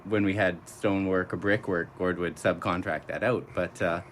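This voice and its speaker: A man's voice: deep voice, steady tone, normal speed, slight background noise. A man speaking as a landscaper guest in a radio interview, telling anecdotes casually.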